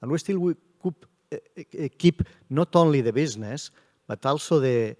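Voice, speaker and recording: A man speaking as a security panelist, halting and searching for words, with non-native phrasing. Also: fairly high pitch, lively intonation, a little mellow. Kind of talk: conference panel answer. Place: conference panel stage.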